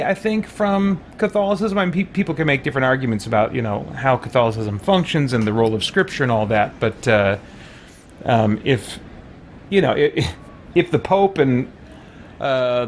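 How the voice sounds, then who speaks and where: A man giving casual, reflective game commentary, thinking aloud in a halting way. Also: mid-range voice, slight background noise. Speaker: let's-play host.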